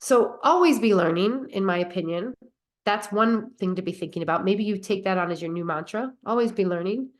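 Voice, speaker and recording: A woman with a cold, sounding nasal and stuffy, hosting her own podcast in an earnest, reflective way and asking rhetorical questions. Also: medium pitch. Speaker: podcast host. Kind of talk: casual solo podcast talk.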